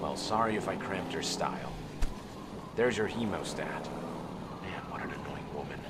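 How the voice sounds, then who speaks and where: An actor playing a brash, stubborn, excitable young soldier in a military radio drama. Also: male voice, low voice, normal speed, very noisy. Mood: irritated. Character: brash, cursing, stubborn young male soldier hoping for selection.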